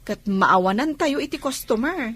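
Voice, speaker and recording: A woman with an Ilocano Filipino accent playing a polite, candid young shop worker in an audio drama, with a slightly husky voice. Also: fairly high pitch, expressive, normal speed, fairly bright tone, a little hiss or hum. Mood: politely correcting. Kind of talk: Ilocano radio-style drama acting.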